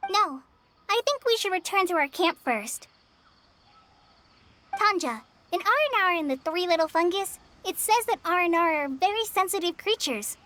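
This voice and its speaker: A woman's voice: very high voice, expressive, moderate pace, pushed and bright, slight background noise, heavily acted. A woman voicing a shy, anxious young forest ranger in a video game, girlish, hesitant and easily flustered. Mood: earnest. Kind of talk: video game character acting. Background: background music.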